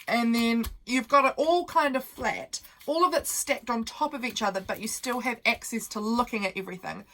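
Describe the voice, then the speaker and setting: A woman's voice: a little high, normal speed, sharp tone. A young woman who sounds nasal from a cold, hosting a casual, meandering craft tutorial full of fillers while she works at her desk.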